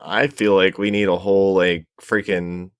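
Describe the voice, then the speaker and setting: A man's voice: low-pitched, level tone, normal speed, clean tone, no echo, clean studio sound. A man chatting casually as a panel guest, in a laid-back, slangy and somewhat hesitant way. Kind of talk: casual chat.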